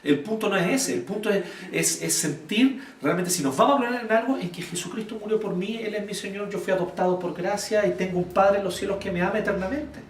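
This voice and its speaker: A Chilean man giving an informal church teaching talk, blunt and animated, quizzing the audience as he goes. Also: high-pitched, brisk, hardly any pauses, a little hiss or hum. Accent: Chilean Spanish. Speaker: church speaker teaching volunteers. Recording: echoey room, voice from far off.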